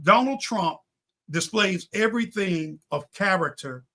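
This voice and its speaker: A man with a high, forceful voice talks like a pastor on a talk show. He builds his points in repeated, preacherly phrases and speaks with conviction. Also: animated, unhurried. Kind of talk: impassioned political and faith talk.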